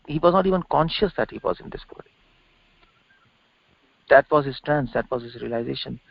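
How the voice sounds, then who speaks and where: A gravelly, creaky middle-aged man preaches on scripture in an unscripted lecture. He drives points home by repeating phrases and asking rhetorical questions. The sound is dull, lo-fi conference-call audio. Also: medium pitch, no echo. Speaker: religious preacher and teacher. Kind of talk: scripture lecture, spoken off the cuff.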